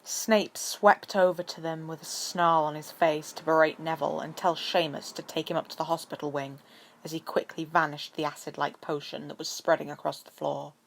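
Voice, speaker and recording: A woman's voice: sits low, little pitch movement, moderate pace, creaky, slight background noise, poor audio. A woman reading scripted story narration as an amateur audiobook narrator.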